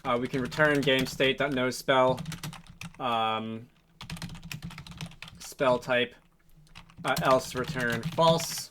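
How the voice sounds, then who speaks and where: A man's voice: medium pitch, fairly even intonation, slow, long pauses, a bit bright, slight background noise. A man giving a casual, unscripted programming tutorial, thinking aloud in a hesitant, rambling, self-correcting way. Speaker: programming video host, game developer. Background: computer keyboard typing and mouse clicks.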